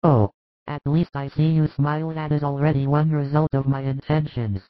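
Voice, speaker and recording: A man's voice: fairly high pitch, level tone, moderate pace, no room sound, lightly acted. A man voicing a worried, devoted apostle who speaks in an earnest, pleading way for an audiobook. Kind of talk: audiobook dialogue reading. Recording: dull lo-fi dry recording.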